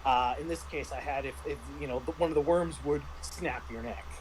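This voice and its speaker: This is a man with a somewhat high-pitched voice. He talks casually, like a game master looking back on a session, and he rambles and halts as he explains. A faint layer of noise sits behind him. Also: brisk, low-quality recording. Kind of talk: casual discussion and review of a roleplaying game.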